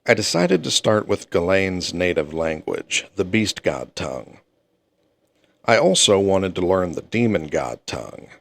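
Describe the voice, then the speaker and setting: A man with a very deep voice reads a fantasy novel aloud. He takes it slowly and steadily, keeping an even first-person tone from sentence to sentence. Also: gravelly. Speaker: audiobook reader.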